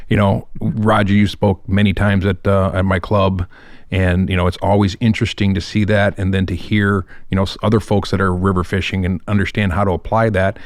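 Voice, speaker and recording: Male podcast co-host, low voice, little pitch movement, casual delivery. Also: little pitch movement, a little fast, dry recording, studio quality. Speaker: podcast co-host, hobby angler. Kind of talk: casual chat.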